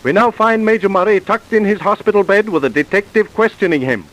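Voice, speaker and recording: A man's voice: high-pitched, fairly quick, a bit bright, low-quality recording. A man narrating an old-time radio drama from a script.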